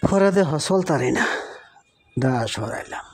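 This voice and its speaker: A man's voice: on the high side, lively intonation, a little slow, breathy edge, faint music behind, full character voice. A man with a rural Bangladeshi accent playing a sympathetic, reflective boss in a cartoon, talking conversationally. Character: sympathetic male boss recalling his hard past. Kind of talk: cartoon dialogue.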